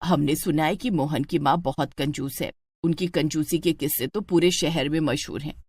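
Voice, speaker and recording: A woman as a worried, lower-voiced caring mother. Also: fairly even intonation, moderate pace, darkish tone, slightly rough, dry recording. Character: caring, worried middle-aged mother of a bride.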